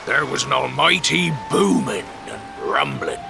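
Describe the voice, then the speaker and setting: An actor voicing a gruff but kindly old fisherman in a video game, with folksy, rustic speech and an excitable, theatrical manner. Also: medium pitch, a little slow, over music, full character voice. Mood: jovial, weary. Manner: folksy, rustic dialect with "ain't" and "sonny".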